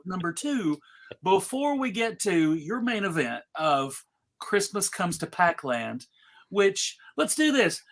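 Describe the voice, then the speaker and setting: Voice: mid-range voice, a bit bright. A host of a live table read, chatting casually and announcing things to the audience like a jokey showman. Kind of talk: casual live chat introducing scripts.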